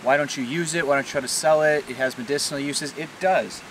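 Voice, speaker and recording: A man's voice: on the high side, fast, fairly bright tone. Man talking casually over noisy outdoor hiss. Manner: informal, plain-spoken. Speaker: farmer, vlog host. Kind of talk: casual explanatory vlog talk. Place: outdoors on a farm. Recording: clear background noise, hiss.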